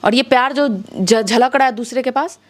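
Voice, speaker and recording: Insistent high-voiced young Bihari woman. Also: normal speed. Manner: emphatic, insistent, with rhetorical questions. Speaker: interview guest, music-video actress. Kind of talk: spoken interview, airing grievances.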